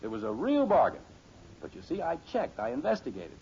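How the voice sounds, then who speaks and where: A man's voice: medium pitch, wildly swooping pitch, fairly quick, warm tone. An actor playing a sensible middle-aged father in a 1950s radio sitcom, patiently lecturing with a pompous self-assurance. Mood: self-assured. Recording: muffled, hissy old radio recording.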